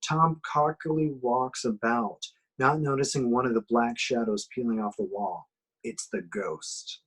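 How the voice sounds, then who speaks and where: A man narrates screenplay action in a home horror table read. His voice is husky and mid-pitched, and he reads the scripted directions scene by scene. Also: steady tone, measured pace, a bit bright, low-quality recording.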